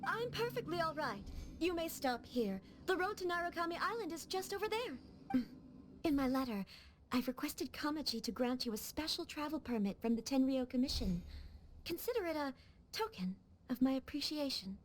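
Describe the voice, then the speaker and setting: A young noblewoman in a video game, high and lilting. The manner is formal, composed and gently resolute, with faint ambient noise behind. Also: big pitch swings, normal speed. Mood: gracious.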